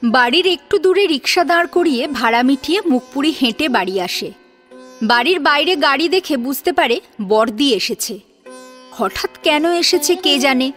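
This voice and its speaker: A woman's voice: high-pitched, animated, moderate pace, a bit bright. A woman reading scripted story narration as the narrator of an audio drama. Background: sitar music.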